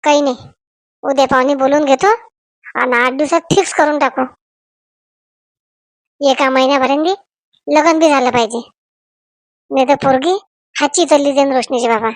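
A woman's voice: high-pitched, steady tone, normal speed, pauses often, no echo, close to an everyday voice. A woman voicing a nagging, insistent village mother in a family comedy cartoon. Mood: insistent, persuading. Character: bossy, nagging village mother arranging her daughter's wedding.

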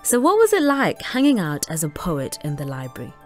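A woman with an accent mixing Zimbabwean and South Yorkshire, asking an interviewer's questions in a clear, measured way. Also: fairly low pitch, wildly swooping pitch, a little mellow, faint music behind. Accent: Zimbabwean and South Yorkshire mix.

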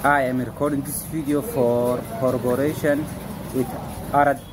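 A businessman from Somalia introducing himself in plain, halting English in a self-recorded video. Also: medium pitch, level tone. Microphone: phone at arm's length. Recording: noisy phone clip with traffic.

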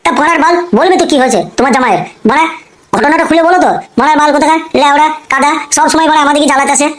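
Woman as high, rapid-fire cartoon gangster boss. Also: lightly acted. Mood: irritated. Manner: blustering, curse-laden, repetitive. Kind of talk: amateur comic cartoon dialogue.

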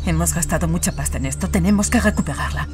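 A woman's voice: on the low side, quick pace, a little mellow, faint music behind, lightly acted. An actress playing a bossy, scornful woman plotting a kidnapping in an audio drama, curt and commanding.

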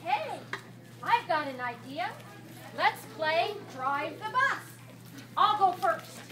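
A woman's voice: very high voice, very animated, takes it slowly, full character voice. A woman voicing a stubborn, wheedling pigeon in a children's puppet show, pleading dramatically. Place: library children's room, audience of children. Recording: echoey noisy room from far off.

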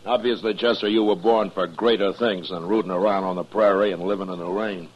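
Casting: laconic Old West lawman, American, low voice, calm and weary, vintage radio drama sound. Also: normal speed. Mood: wry. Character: calm, world-weary male frontier lawman. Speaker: lead actor and narrator. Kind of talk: 1950s radio Western acting. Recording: old 1950s radio broadcast, dull and noisy.

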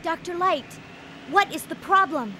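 Super high bright little robot boy hero, eager n earnest, game cartoon dub. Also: expressive, a little slow, a little hiss or hum, heavily acted. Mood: earnest, curious. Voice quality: childlike. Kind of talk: animated game cutscene dub.

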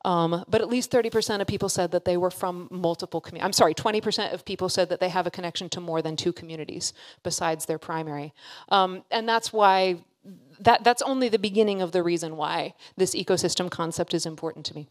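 A woman's voice: on the low side, fast. A woman in her thirties giving an informal keynote speech on a handheld mic, earnest and emphatic, repeating phrases for emphasis. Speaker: keynote speaker. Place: hall with seated audience. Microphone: handheld microphone held close at a podium.

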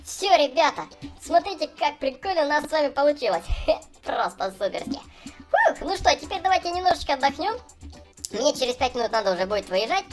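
A man's voice: very high-pitched, big pitch swings, a little fast. A man voicing a cheerful young clown for small children, gushing and chatty as he narrates gameplay. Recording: voice over background music. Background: music.

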